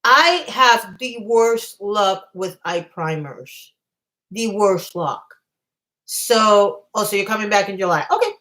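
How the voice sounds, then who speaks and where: A woman hosting a casual livestream while losing her voice, rambling and often clearing her throat. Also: mid-range voice, expressive, takes it slowly, a bit bright. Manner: chatty, reads viewer comments aloud. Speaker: livestream host.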